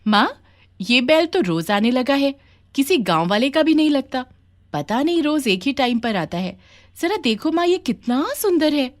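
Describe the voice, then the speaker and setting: Woman as sweet teenage village girl, high-pitched. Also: big pitch swings, normal speed. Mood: curious, admiring. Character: fourteen-year-old village daughter, caring and curious. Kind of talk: acted dialogue in a children's moral story.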